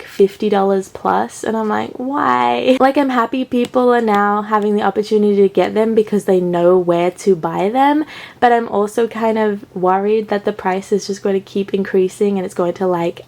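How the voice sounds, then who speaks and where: A woman's voice: mid-range voice, gravelly, a little mellow. Stuffy-nosed chatty woman in her thirties. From Australia. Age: 30s. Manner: chatty and upbeat, with "like" fillers. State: stuffy from a cold. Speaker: collector vlogger. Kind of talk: casual unboxing vlog.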